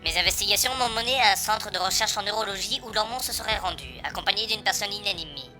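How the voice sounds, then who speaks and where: An actress playing a mysterious informant who gives orders in a formal, clipped, commanding way in an audio drama. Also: female voice, mid-range voice, quick pace, bright, forceful tone, soft background music, lightly acted. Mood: cool. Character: mysterious informant calling with instructions.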